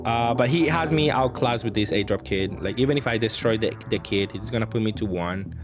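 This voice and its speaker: A man with a fairly low voice commentates casually on his own gameplay. He reasons aloud as he goes, hesitating and working through choices. Music is clearly audible underneath his speech. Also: a little fast. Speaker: card game player and channel host. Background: background music.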